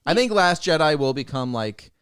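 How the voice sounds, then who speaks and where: A man's voice: fairly high pitch, sing-song, normal speed, a bit bright, clear, resonant tone, dry recording, studio quality. A man talking casually as a panelist on a group talk show. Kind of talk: casual chat.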